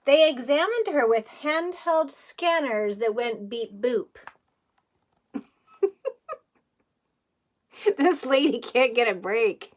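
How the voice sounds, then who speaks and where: A woman's voice: a little high, slow. A woman teacher reading a picture book aloud to young children in a playful way, with chatty asides and questions.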